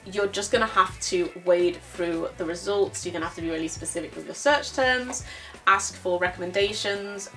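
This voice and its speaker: A woman's voice: on the low side, moderate pace, fairly bright tone. A woman speaking as a panellist, answering audience questions in an informal, candid and explanatory way. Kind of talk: informal opinionated discussion. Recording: distant mic, music underneath.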